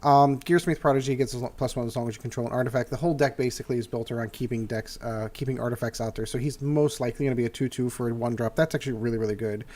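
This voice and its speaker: A man's voice: medium pitch, fairly even intonation, rapid-fire, hardly any pauses, darkish tone. An older man hosting a casual card game review, nasal and congested from a cold, rambling through his points with frequent "uh"s and restarts. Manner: rambling with many "uh" fillers and restarts. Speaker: host.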